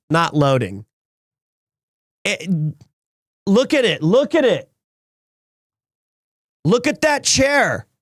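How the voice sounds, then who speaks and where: Slightly raspy man, high voice. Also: dry recording, clean studio sound. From Wales. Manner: rambling, restarts often, excitable. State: slightly hoarse after being sick. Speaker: streamer. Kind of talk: casual live-stream chat.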